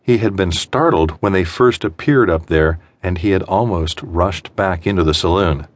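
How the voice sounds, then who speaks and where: A man narrating suspense fiction as an audiobook, with measured, deliberate phrasing and dramatic pauses. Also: sits low, a little hiss or hum.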